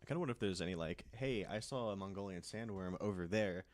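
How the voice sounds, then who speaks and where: Deep voiced dude on a podcast panel, casual, kinda musing out loud. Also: male voice, fast. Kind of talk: casual chat.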